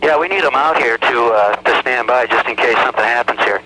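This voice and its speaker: Airline pilot guy talking to tower over the radio, medium pitch, bit of static. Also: a little fast. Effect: cockpit aviation radio. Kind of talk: radio communication with air traffic control. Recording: noisy cockpit radio transmission.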